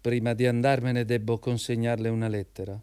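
An actor playing a defiant, needling unemployed communist jobseeker in a radio drama, insistent and goading. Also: male voice, medium pitch, level tone, a little fast, mellow, clean tone, no room sound, close to an everyday voice. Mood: quietly insistent. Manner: insistent, goading, showing off knowledge.

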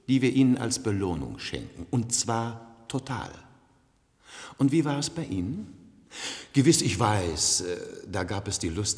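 An actor playing a suave, condescending ruler of a future society in a German radio play, smug and theatrically drawn out. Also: male voice, on the low side, heavily acted. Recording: long hall reverb.

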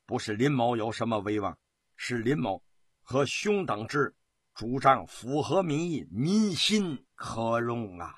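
A man's voice: medium pitch, sing-song, a little slow, husky, no echo, studio quality. A man voicing a dignified, resolute imperial commissioner in his fifties for a historical story, formal and declamatory. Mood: modest.

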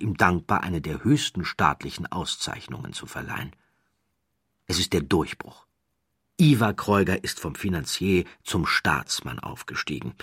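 Male audiobook narrator: low, slightly husky, measured and dramatic, recorded dry. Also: no echo. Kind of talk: scripted narration of a historical true story.

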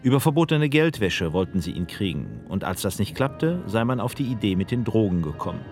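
A man narrating a radio documentary in a calm, measured way and asking interview questions. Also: lowish voice, faint music behind.

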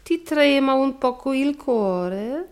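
An actress playing a lazy, scornful, sensual wife in a German radio play, languid and demanding. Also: female voice, high-pitched, expressive, slow. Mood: mocking.

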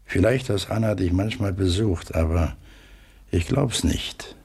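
A husky, low-voiced stern father. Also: a little mellow, heavily acted. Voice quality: husky, gravelly. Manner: terse, authoritative, deliberate. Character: stern, stubborn father and master of the household. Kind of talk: radio drama acting.

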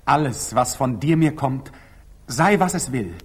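An actor playing a proud, impetuous young Knight Templar in a 1940s German radio drama, speaking stage verse earnestly and declamatorily. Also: male voice, medium pitch, animated, a little slow, husky. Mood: proud, reserved. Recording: slightly muffled 1940s radio studio recording.

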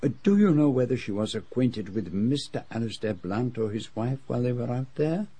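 A middle-aged foreign detective in a radio drama, with a French-speaking European accent and a slightly husky edge. He is shrewd and unfailingly polite, choosing formal, precise phrases. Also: medium pitch, expressive, brisk, warm tone, no room sound. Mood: probing, courteous. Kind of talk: radio drama dialogue and narration.

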